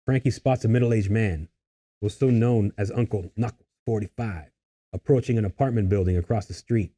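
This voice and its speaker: A man's voice: lowish voice, fairly quick, dark tone. A man reading stage directions as scripted narration for a table read.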